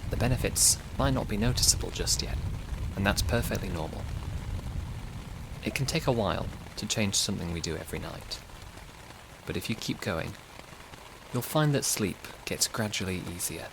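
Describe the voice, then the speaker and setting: A man hosting a guided sleep meditation podcast, giving soothing, gentle, unhurried instructions. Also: fairly low pitch, expressive, creaky, background noise.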